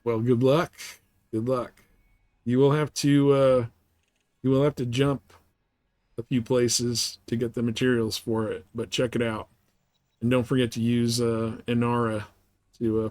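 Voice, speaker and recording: A man's voice: medium pitch, little pitch movement, moderate pace, a little mellow, no echo. A man hosting a live game stream, chatting casually with viewers and explaining things in colloquial language. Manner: colloquial, explanatory, giving advice. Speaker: livestream host.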